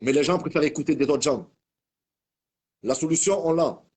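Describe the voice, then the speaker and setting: Husky man ranting. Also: fairly high pitch, moderate pace, darkish tone. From Marseille, France. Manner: rambling, heated, repeats and restarts words. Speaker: online commentator. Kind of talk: ranting video message.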